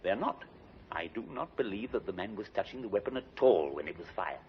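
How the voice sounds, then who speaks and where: An actor playing a precise, confident forensic expert in a 1950s radio drama, formal and emphatic. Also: male voice, lowish voice, lively intonation, a little fast, natural voice. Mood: clinical, emphatic. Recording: muffled old radio, faint noise.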